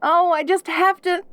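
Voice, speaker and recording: Audio roleplay demon girl, female performer: high-pitched, perky, lonely, obsessively devoted, stammering delivery, dry intimate sound. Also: dry recording, heavily acted. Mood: sheepish, tender. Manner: eager, stammering, giggly, devoted. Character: perky, lonely, clingy yandere demon girl, centuries old.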